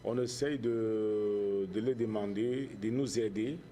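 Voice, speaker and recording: Low-voiced Cameroonian man, measured and mellow. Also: fairly even intonation, slight background noise. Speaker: charity founder and musician, interview guest. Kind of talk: earnest interview answers.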